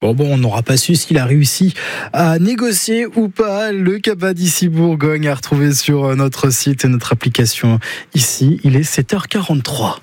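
A man presenting the morning news on local radio in a steady, scripted way from a studio mic. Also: a little high, normal speed, no echo. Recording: dry studio, faint music bed.

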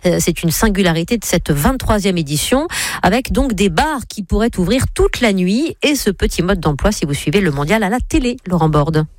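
A woman's voice: medium pitch, expressive, a little fast, darkish tone, dry recording. A woman presenting broadcast news.

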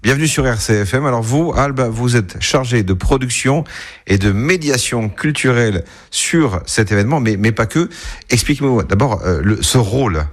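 A male radio host asking interview questions in a halting way, with frequent fillers. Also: medium pitch, expressive.

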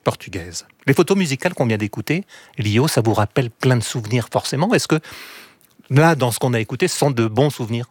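A man hosting a radio interview, asking measured, probing questions. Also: mid-range voice, expressive, breathy edge, dry recording, clean studio sound. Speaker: interviewer, host.

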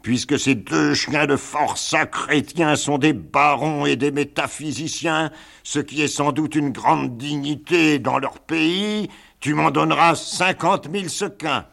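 A man voicing a harsh, greedy galley master in a storybook reading, gruff and contemptuous. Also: on the high side, unhurried, a bit bright, voice pushed up.

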